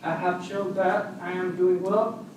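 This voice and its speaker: A nineteen-year-old man from Honduras, a student making an earnest public comment in slightly halting English. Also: sits high, level tone. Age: young adult. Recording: echoey hall, far from mic, noise.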